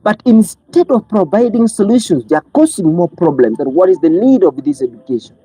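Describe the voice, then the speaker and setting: A commentator delivers a fiery, preachy monologue, and the pitch leaps and plunges in lively swings. The voice is husky and mellow. It sounds dry and close, with no room echo. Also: fairly quick. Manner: preachy, fires rhetorical questions, swings between loud outbursts and low pleading. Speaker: online commentator. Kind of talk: impassioned monologue.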